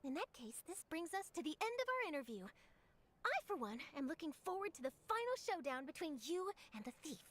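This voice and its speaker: A woman's voice: very high-pitched, very animated, fairly quick, a bit bright, full character voice. A woman voicing a polite, inquisitive young journalist in a game, formal and precise. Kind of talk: game cutscene voice acting.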